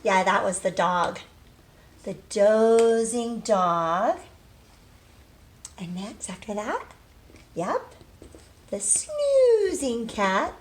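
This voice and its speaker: A woman teaching young children in a read-aloud lesson, asking questions, pausing and drawing out key words for emphasis. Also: mid-range voice, very animated, slight background noise. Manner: prompts with questions, pauses, affirms answers, drawn-out emphasis on key words. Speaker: early literacy teacher.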